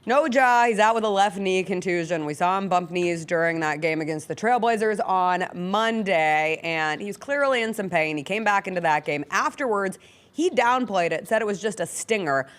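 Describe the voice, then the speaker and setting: A woman's voice: mid-range voice, lively intonation, normal speed. American woman hosting casual sports talk. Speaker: sports talk show host. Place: radio-style studio.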